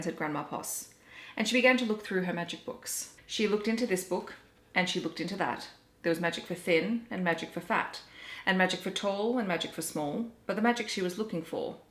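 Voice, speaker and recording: An Australian woman reading children's storybooks aloud in an animated, deliberate storytelling way. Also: on the low side. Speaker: children's story reader and book vlogger. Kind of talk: expressive storybook read-aloud. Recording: roomy, echoey home recording.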